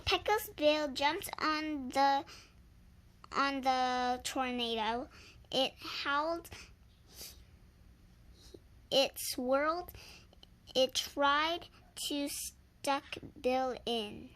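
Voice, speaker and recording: High young voice, very slow read-aloud, halting, repeats words as they are sounded out. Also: fairly bright tone. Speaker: reader and channel host. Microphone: handheld phone.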